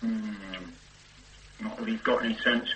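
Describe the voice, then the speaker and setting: An actor playing an easygoing police constable on a stakeout, chatty and dry in a radio drama. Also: male voice, lowish voice, monotone, a little slow, noisy background, close to an everyday voice. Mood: casual. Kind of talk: 1970s radio drama acting.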